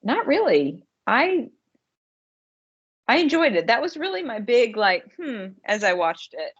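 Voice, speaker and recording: A woman's voice: on the high side, very animated, unhurried, slightly rough. A woman podcast co-host chatting casually about a film, thinking aloud in informal, slangy language. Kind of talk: casual conversational discussion. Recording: slightly dull, lo-fi podcast audio.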